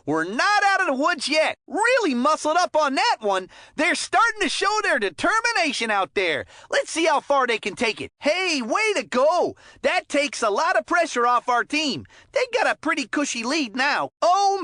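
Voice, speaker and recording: Folksy hockey announcer for a kids game, swoopy lively voice, super dry sound, chummy n upbeat. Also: female voice, high voice, dry recording, full character voice. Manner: folksy, chummy, slangy and encouraging. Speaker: hockey commentator character. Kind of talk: video game sports commentary voicelines.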